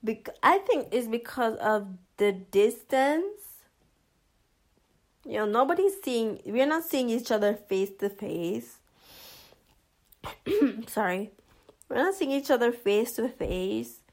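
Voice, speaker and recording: Slow, hesitant woman vlogger. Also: fairly high pitch. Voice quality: monotonous voice. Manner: hesitant, many fillers and restarts. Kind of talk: casual commentary to camera.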